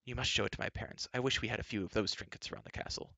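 A man's voice: fairly low pitch, fairly even intonation, very fast, gravelly, bright, forceful tone, no room sound, exaggerated voice. A man voicing a cheerful, naive young prince in a storybook, full of eager, posh exclamations. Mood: amazed. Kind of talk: audiobook character voice.

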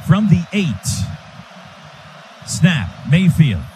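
A man calling live football on the radio as play-by-play announcer, in clipped, rapid-fire phrases. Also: on the high side, sing-song, darkish tone. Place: stadium radio booth. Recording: noisy stadium broadcast.

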